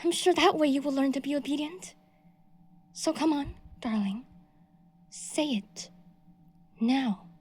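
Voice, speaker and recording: A woman voicing a delusional, possessive young woman stalker in an audio roleplay, moving between soft breathy whispers and shrill outbursts. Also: expressive, slow, long pauses. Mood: coldly threatening.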